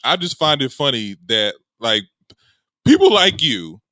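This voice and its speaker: Male podcast co-host, bright and lively, insistent, dry close mic. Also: medium pitch, measured pace, dry recording. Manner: insistent, talks over others, repeats phrases. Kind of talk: heated sports debate.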